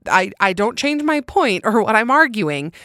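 A woman hosting a podcast, chatting casually and candidly on her own with emphatic delivery and a loud, intense voice. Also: a little high, very animated, moderate pace, no echo, studio quality. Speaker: podcast host. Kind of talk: casual solo chat answering listener questions.

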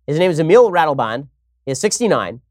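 A man hosting an opinionated political commentary show, talking in a wry, sarcastic way and moving briskly from segment to segment. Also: on the high side, sing-song, no room sound, clean studio sound. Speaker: host.

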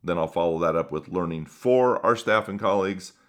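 A middle-aged man whose first language is Spanish, hosting a podcast as a former school principal and speaking in an earnest, measured way. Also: low voice. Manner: earnest, measured, builds lists for emphasis. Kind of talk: reflective podcast monologue.

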